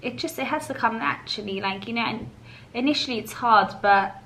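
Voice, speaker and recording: Chatty woman with a bright voice. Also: a little high, a little fast, a little hiss or hum, poor audio. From England. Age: 30s. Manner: chatty, laughs often, many fillers. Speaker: vlogger. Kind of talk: casual Q&A chat.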